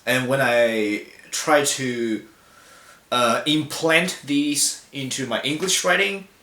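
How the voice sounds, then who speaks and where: A young man speaks in a mid-pitched voice, thinking aloud with plenty of ums and restarts. His words ring out in a roomy, echoing hall. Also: pushed and bright. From China. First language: Mandarin. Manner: reflective, halting with fillers and self-corrections. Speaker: speaker giving a talk. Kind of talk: personal public talk. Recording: echoey hall.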